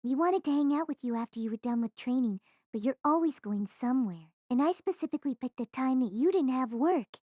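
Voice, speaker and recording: A woman's voice: sits high, animated, brisk, few pauses, dark tone, no echo, studio quality. A woman doing a nosy teasing schoolgirl. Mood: teasing, prying. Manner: teasing, prying questions. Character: nosy gossiping schoolgirl, relentless prier.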